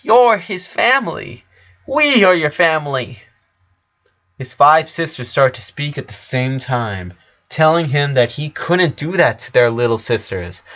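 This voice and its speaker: A man reads fan fiction aloud as a dramatic narrator. His voice sits at an average pitch and moves at a very slow pace. The recording sounds dull and lo-fi. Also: fairly bright tone. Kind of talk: dramatic story reading.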